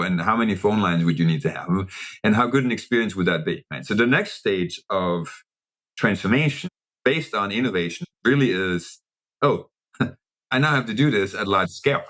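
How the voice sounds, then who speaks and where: A man with a very low voice and a Danish accent. He talks as an interview guest, explaining in a measured way and posing questions to himself as he goes. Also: fairly bright tone. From Denmark. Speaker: interview guest, technology executive.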